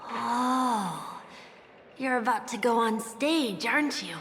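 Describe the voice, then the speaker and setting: An actress voicing a gentle, curious little girl guide in a game cutscene, speaking softly with childlike wonder. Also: fairly high pitch, lively intonation, long reverb tail, a little hiss or hum, heavily acted. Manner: soft, slow, childlike wonder.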